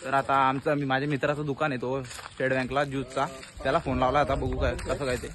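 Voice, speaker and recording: A man's voice: mid-range voice, flat delivery, fast talker, noisy background. A young man with a Maharashtrian accent talking casually as he hosts a prank-call vlog. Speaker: vlogger making prank calls.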